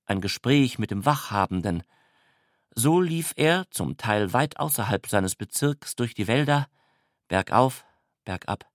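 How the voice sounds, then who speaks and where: Slow, deliberate male reader, dry sound. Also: fairly low pitch, no room sound. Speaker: narrator. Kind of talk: scripted literary documentary narration. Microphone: close studio microphone, dry.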